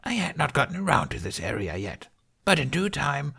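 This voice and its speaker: A man's voice: a little high, sing-song, fairly quick, gravelly, bright, forceful tone, husky, voice pushed up, exaggerated voice. Man putting on a haughty, regal she-dragon. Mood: regal, defensive. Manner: imperious, indignant, formal diction.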